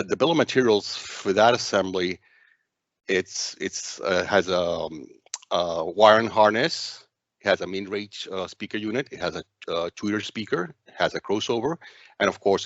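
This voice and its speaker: Man, low pitch, software walkthrough narrator, hesitant and halting, dry lo-fi sound. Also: dry recording. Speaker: software demo presenter.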